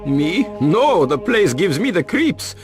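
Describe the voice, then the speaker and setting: An actor playing an eager, jittery reporter in a video game cut-scene, informal and animated, with a creaky edge. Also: male voice, sits high, slow, over music, heavily acted. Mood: uneasy, curious. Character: eager, jittery male reporter hungry for a scoop.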